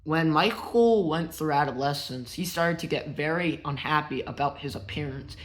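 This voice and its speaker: A man narrating a biography as a read-aloud, telling it simply and in measured phrases. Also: a little high, fairly bright tone. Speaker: narrator and show host.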